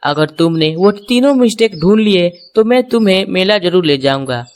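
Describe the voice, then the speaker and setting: A woman's voice: on the low side, animated, moderate pace, darkish tone, lightly acted. A woman voices a male pigeon husband from a children's cartoon. He teases his wife, sounding indulgent yet firm as he sets conditions. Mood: indulgent, challenging. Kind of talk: Hindi children's cartoon story.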